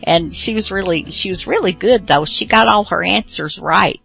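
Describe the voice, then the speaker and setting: A woman's voice: mid-range voice, normal speed, vocal fry, a bit bright, husky, soft background music. A woman hosting a podcast, giving a casual, rambling introduction in an informal way. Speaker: podcast host.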